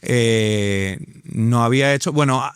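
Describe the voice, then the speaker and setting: A man's voice: mid-range voice, slow, clear, resonant tone, dry recording, studio quality. A man in his thirties with a Venezuelan accent, an actor talking as a guest in a casual interview, enthusiastic and full of fillers and restarts.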